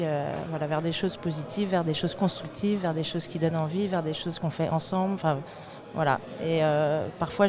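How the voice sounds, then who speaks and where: A woman speaking reflectively on a panel, hesitant and searching for her words. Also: low-pitched. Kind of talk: reflective unscripted roundtable talk. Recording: crowd chatter behind. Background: crowd chatter.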